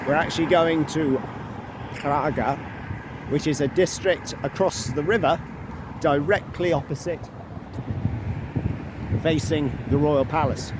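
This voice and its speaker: A man walking through a city, narrating a travel vlog in a chatty, unhurried way with asides. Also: a little high. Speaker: travel vlogger. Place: outdoors on city streets by a river. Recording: noisy outdoor street recording. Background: loud traffic and city noise.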